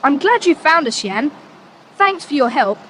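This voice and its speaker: A performer acts out a friendly, careful young schoolboy in a children's adventure drama. The voice is high and very lively in its pitch, earnest and well-spoken. Faint outdoor noise sits behind it. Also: a little slow, full character voice. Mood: uneasy, friendly. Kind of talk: children's audiobook drama acting.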